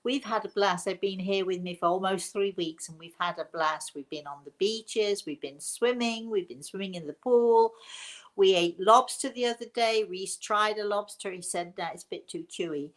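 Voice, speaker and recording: Older female live-stream host, casual and conversational, medium pitch, halting delivery with repeated words and restarts. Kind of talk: casual live-stream talk answering viewer comments.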